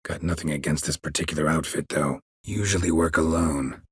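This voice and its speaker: An actor playing a gruff, weary, stoic monster hunter in a video game, a gravelly voice and a terse, deadpan delivery. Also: male voice, brisk, no echo, heavily acted. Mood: dry, terse. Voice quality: husky, gravelly low voice. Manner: terse, clipped, deadpan, dry. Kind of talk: video game character dialogue.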